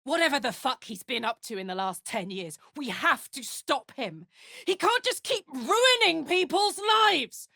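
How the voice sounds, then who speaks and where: A woman's voice: sits high, sing-song, unhurried, gravelly, pushed and bright, dry recording, clean studio sound, exaggerated voice. A woman playing a brash, big-hearted, battle-hardened tiefling warrior in a video game, blunt, sweary and matey. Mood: angry, determined. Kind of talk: video game character voice lines.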